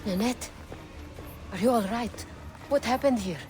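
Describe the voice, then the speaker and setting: An actress playing a caring, earnest healer in a video game, speaking in a measured way. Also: female voice, on the high side, a little mellow, faint music behind, lightly acted. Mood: concerned. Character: caring, earnest woman healer of ancient North Africa. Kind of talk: dramatic video game acting.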